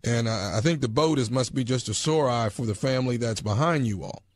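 Man playing stern, halting neighborhood official. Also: medium pitch, close to an everyday voice. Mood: officious, measured. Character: stern, bureaucratic male neighborhood enforcement official. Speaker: prank caller. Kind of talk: prank phone call.

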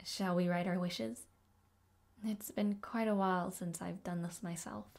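A woman's voice: lowish voice, steady tone, measured pace, vocal fry. A woman playing a devoted young maid of noble birth in an audio roleplay, speaking politely and formally, with shy hesitations. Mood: gentle, wistful. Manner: polite, soft-spoken, flustered hesitations, formal diction. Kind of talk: audio roleplay addressed to the listener.

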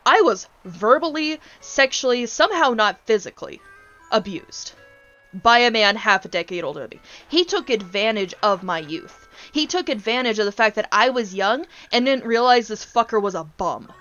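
A woman's voice: fairly high pitch, animated, moderate pace, fairly bright tone, lo-fi sound, faint music behind. A woman in her twenties ranting casually on a gaming stream, blunt, exasperated and sweary as she tells stories. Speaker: streamer, VTuber host.

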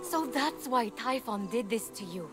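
A woman voicing an eager, slightly awkward young mortal heroine in a fantasy video game, earnest and excitable. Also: a little high, measured pace, loud music behind the voice. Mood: helpful, earnest. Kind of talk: video game character acting.

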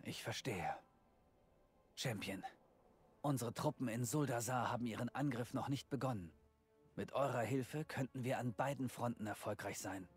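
Young royal for a fantasy game, formal and earnest, measured delivery over soft music. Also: male voice, medium pitch, breathy edge. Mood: calm, supportive. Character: young human king, measured, earnest and conciliatory. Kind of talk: video game voice acting.

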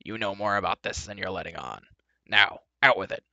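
A man voicing a gruff, impatient dwarf in a children's storybook reading, growling and muttering bluntly. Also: low voice, brisk, bright, forceful tone, no room sound, muffled recording, exaggerated voice. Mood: sharp, demanding. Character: gruff, sharp-tongued, impatient male dwarf.

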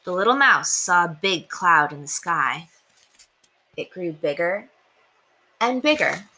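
A young woman reading a children's storybook aloud in an expressive, deliberate way. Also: on the low side, slight background noise, poor audio. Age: young adult. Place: small indoor room. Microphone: webcam at desk distance.

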